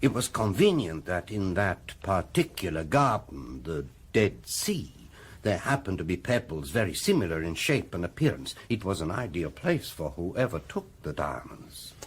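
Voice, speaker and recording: An actor with a French-speaking Belgian accent playing a courtly, vain, shrewd detective with theatrical, formal phrasing in a radio play. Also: male voice, low-pitched, big pitch swings, moderate pace, husky, heavily acted. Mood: explaining. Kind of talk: British radio drama acting.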